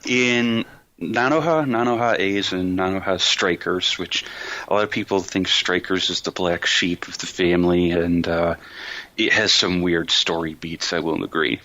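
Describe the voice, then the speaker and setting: Deep-voiced man, bright, casual podcast talk. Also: steady tone, moderate pace, lo-fi sound. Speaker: podcast host explaining series. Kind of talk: casual anime discussion.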